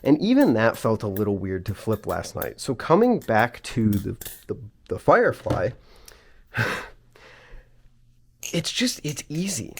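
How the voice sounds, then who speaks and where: A man with a stuffy, plugged-up nose from a cold talks straight to his viewers in a loose, chatty vlog. He rambles and restarts his sentences as he goes, in an everyday middle-pitched voice. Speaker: host of a knife channel. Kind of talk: casual vlog chat.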